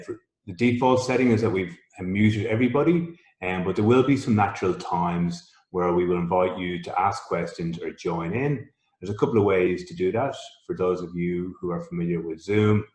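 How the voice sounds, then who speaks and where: A man's voice: deep voice, darkish tone, echoey room. A man working as a coach politely walks webinar participants through the logistics. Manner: polite, organized, explains logistics. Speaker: coach and co-host. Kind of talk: webinar introduction. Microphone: video call.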